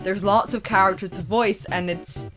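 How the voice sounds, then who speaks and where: A woman's voice: medium pitch, steady tone, normal speed. A young woman chatting casually as she answers questions as co-creator of a fan parody series. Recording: music playing behind the voice.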